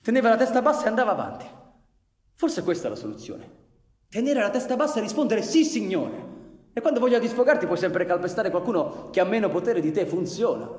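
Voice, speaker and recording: An actor performing emphatic, brooding narration of a bitter anarchist's story for radio theatre. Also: male voice, sits high, big pitch swings, brisk, a little mellow. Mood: resigned, bitter. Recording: reverberant hall, live music behind. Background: live music.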